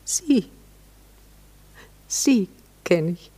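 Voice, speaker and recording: A married Viennese society lady in a radio play. Her voice is mid-pitched and she talks with imperious, mocking sarcasm, flirting underneath the reproach. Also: expressive, very slow, warm tone. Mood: imperious, teasing. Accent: Austrian, Viennese. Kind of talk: German radio drama dialogue.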